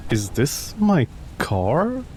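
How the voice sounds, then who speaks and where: A man's voice: fairly high pitch, huge pitch swings, very slow, dark tone, a little hiss or hum. A man doing live game commentary, talking to himself in a sarcastic, exclamatory way. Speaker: gaming streamer.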